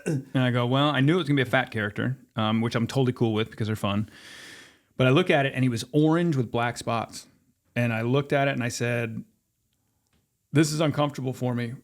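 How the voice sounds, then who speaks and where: Male, 50s, medium pitch. Interview guest spinning anecdotes informally, voicing both sides of remembered exchanges. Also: brisk. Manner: animated retelling with quoted dialogue. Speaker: podcast guest, former sports mascot performer. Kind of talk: casual storytelling in an interview.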